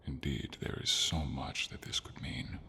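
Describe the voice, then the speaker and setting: A man voicing a friendly, devoted silver dragon in an ASMR fantasy roleplay, deep and breathy, speaking tenderly with dramatic pauses. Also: pushed and bright, a little hiss or hum.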